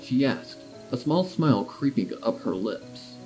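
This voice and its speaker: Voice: mid-range voice, expressive, unhurried, poor audio. A narrator reading a scripted romantic story in a storytelling style. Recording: music behind the voice.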